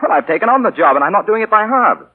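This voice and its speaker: An actor playing a cocky, charming young spendthrift gentleman, all glib banter in 1940s radio style. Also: high voice, very animated, racing, breathy edge, exaggerated voice. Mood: breezy, flattering. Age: young adult. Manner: glib, breezy upper-class banter. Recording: muffled vintage 1940 radio broadcast.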